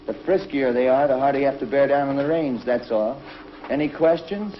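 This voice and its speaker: An actor playing a confident young spaceship pilot hero in a 1950s TV drama, brash and commanding. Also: male voice, medium pitch, fairly quick, slight background noise, lightly acted. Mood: teasing. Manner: cocky, commanding. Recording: dull lo-fi 1950s TV soundtrack with music.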